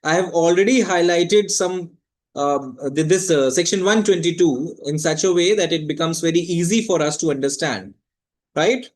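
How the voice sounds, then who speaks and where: Male law lecturer, Indian accent, high-set voice, didactic delivery with repeated key phrases. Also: moderate pace. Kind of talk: explanatory lecture.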